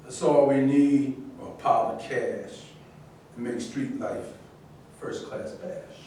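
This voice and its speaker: A man's voice: mid-range voice, slow pace, warm tone. A man acting in a staged reading, performing dialogue from a script. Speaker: actor in a staged play reading. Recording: echoey room, distant mic, faint noise.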